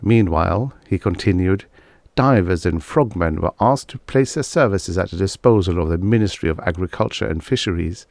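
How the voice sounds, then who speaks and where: Low-voiced man narrating, very dry sound. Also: animated, vocal fry, darkish tone, no room sound. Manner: measured, formal storytelling. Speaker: audiobook narrator. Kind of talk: scripted narration of vintage science fiction stories.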